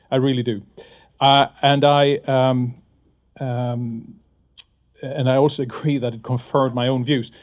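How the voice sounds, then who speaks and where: A middle-aged man from Sweden, a former fisheries regulator, giving panel remarks with enthusiasm and many fillers and restarts. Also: medium pitch.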